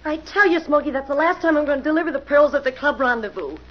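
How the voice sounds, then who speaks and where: A woman's voice: high-pitched, animated, fast talker, music in the background. A woman playing a brassy young racketeer in 1940s radio style, dramatic and insistent. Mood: defiant, griping. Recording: muffled, noisy old radio recording.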